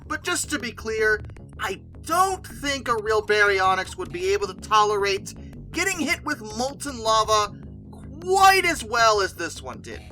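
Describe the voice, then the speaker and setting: A very bright, forceful voice with lively pitch swings, in a mid-to-high register. The host talks casually and emphatically, rambling and correcting as they go. Music plays underneath. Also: measured pace, low-quality recording. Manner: hesitant, self-correcting, conversational rambling. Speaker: video host. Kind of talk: casual commentary on film dinosaurs.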